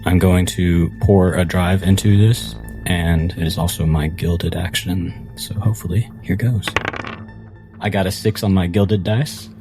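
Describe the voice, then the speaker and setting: A man playing a tabletop roleplaying game, speaking in character in an earnest, deliberate, formal way. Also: low-pitched, fairly even intonation, over music.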